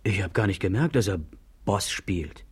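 Dismissive man, low husky voice, curt, defensive, unconcerned, radio drama dialogue. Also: animated, normal speed, a little mellow. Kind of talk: German radio play acting.

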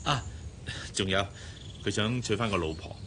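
An actor playing a smitten, insecure boss who talks hesitantly and earnestly in a Hong Kong Cantonese radio drama. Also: male voice, on the low side, normal speed, sharp tone, slight background noise. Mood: matter-of-fact. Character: smitten, insecure boss courting his young secretary.

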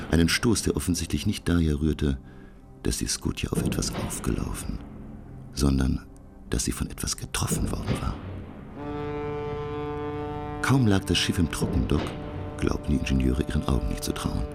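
Very deep, gravelly learned professor. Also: male voice, heavily acted. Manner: measured, formal, dramatic emphasis. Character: learned French professor narrating his sea adventure. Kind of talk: radio play first-person narration, literary.

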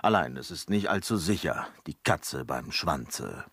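An actor playing a cheeky, evasive manservant in a period comedy radio play, sly, playful and theatrical. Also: male voice, a bass voice, lively intonation, measured pace, a bit bright, slightly rough, dry recording, exaggerated voice. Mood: roguish, flirting. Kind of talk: German radio play acting.

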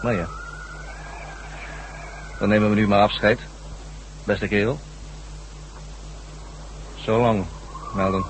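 A man's voice: lowish voice, level tone, slow, long pauses. An actor playing a resigned, matter-of-fact male henchman with a regretful manner in a science fiction radio drama. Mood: grim, regretful. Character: resigned male henchman escorting a doomed prisoner. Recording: noisy old radio drama recording.

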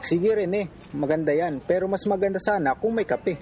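Casting: actor as an easygoing neighbour man, slightly high-pitched, casual dialogue amid clear background noise. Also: normal speed, a little mellow, close to an everyday voice. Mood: agreeable. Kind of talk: radio drama acting, casual dialogue.

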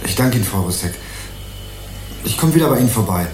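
A man's voice: fairly low pitch, animated, fast talker, over music, lightly acted. Actor as polite, probing young man, echoey room. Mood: grave. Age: young adult. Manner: courteous, hesitant, insistently questioning. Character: young male student, polite, probing amateur investigator. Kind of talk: 1980s German crime radio play dialogue.

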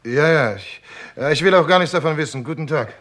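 An actor playing a nervous, hesitant petrol station worker, flustered and muttering, in a vintage German radio crime play. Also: male voice, fairly high pitch, expressive, fairly quick, fairly bright tone. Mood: alarmed. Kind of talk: 1950s-80s German radio crime drama acting.

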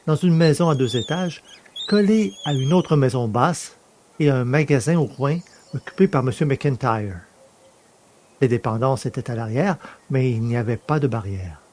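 A man's voice: medium pitch, a little mellow, breathy edge. A man hosting a podcast and reading stories aloud in scripted narration. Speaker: podcast host and audiobook reader.

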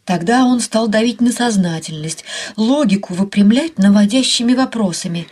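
A woman narrating a scripted short story for radio, expressive and wry in her storytelling. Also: medium pitch, normal speed, breathy edge, no room sound. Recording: music behind.

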